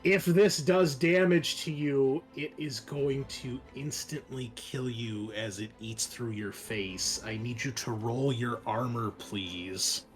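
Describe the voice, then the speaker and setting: A man's voice: mid-range voice, steady tone, slow pace, few pauses, faint music behind. A man working as game master, narrating a horror roleplaying game, moving between dramatic description and casual rules talk.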